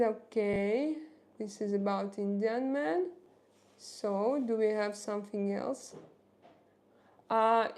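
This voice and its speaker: A woman's voice: a little high, darkish tone. A young woman with a Russian accent, chatting casually on a live stream and reading out viewers' messages, with many hesitant fillers. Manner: halting, many "uh" fillers, reads out chat messages.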